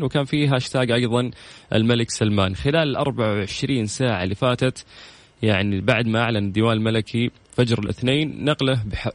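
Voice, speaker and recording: A man's voice: mid-range voice, no echo. A man with a Saudi accent hosting a radio show in a casual, chatty style, talking straight to listeners and dropping in English words. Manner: informal, addresses listeners directly, drops in English words.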